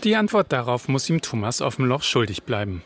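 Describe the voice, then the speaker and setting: A man's voice: medium pitch, sing-song, fairly quick, a little hiss or hum. A man narrating a scripted radio news feature as a reporter.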